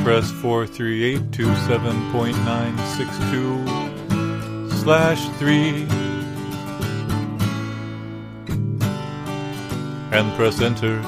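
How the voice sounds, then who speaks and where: A man playing a wistful, lovelorn partner in an improvised monologue, earnest and deadpan, over guitar. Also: medium pitch, lightly acted. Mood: flat, instructing. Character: wistful, lovelorn partner bidding an alien sweetheart farewell. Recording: loud guitar music under the voice.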